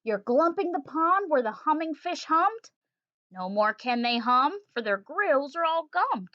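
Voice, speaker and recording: A stern little forest creature lectures in bouncing rhyme, his voice pitched a touch high and sharp with indignation, as a woman reading aloud puts him on. The sound is dull and dry, like a plain home recording with no room around it. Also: sing-song, a little slow, no room sound. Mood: scolding. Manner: scolding, emphatic rhyming lines.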